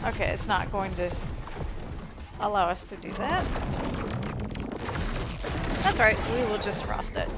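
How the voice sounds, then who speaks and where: A woman's voice: mid-range voice, expressive, unhurried, long pauses. A woman giving casual, chatty let's play commentary with mild swearing while playing a video game. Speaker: gameplay commentator. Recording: loud game music and sounds behind. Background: game music and action sounds.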